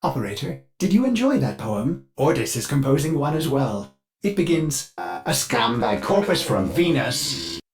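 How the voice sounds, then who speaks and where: Swoopy, fussy, servile ship computer. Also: male voice, fairly high pitch, very animated, vocal fry, over music, exaggerated voice. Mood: helpful, chipper. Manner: overly polite, theatrical, servile, halting. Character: fussy, eager-to-please artificial mind of a spaceship. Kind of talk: video game character dialogue.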